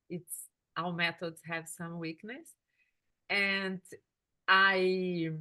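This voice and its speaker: Brazilian woman leading a group meeting, slow halting english, bright clear tone. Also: on the low side. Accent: Brazilian Portuguese. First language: Portuguese. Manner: halting, searching for words in English. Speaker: workshop facilitator. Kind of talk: online group meeting talk.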